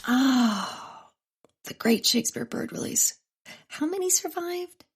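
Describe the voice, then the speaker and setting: A woman's voice: high-pitched, expressive, slow pace, slight background noise, heavily acted. An actress playing a proud, formal, melodramatic lady botanist, declaiming solemnly in a comic audio drama. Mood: sardonic.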